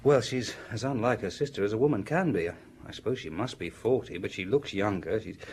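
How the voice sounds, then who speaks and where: An actor playing a frank, flustered provincial French police inspector in a radio drama, deferential and hesitant. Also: male voice, fairly low pitch, fairly quick, darkish tone, a little hiss or hum, lightly acted. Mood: confiding, awkward.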